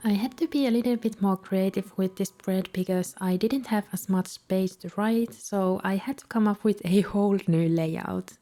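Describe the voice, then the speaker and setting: A woman with a Finnish accent, a native Finnish speaker, calmly narrating a voice-over for a journaling video in a gentle, soft-spoken way. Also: mid-range voice, moderate pace, hardly any pauses, soft background music. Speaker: bullet journal vlogger.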